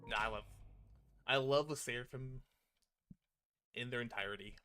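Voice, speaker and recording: A man's voice: mid-range voice, normal speed, bright, forceful tone, clean tone. A man doing casual livestream commentary on a visual novel, chatting informally and reading on-screen text aloud. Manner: informal, occasional swearing. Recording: music playing behind the voice. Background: game music.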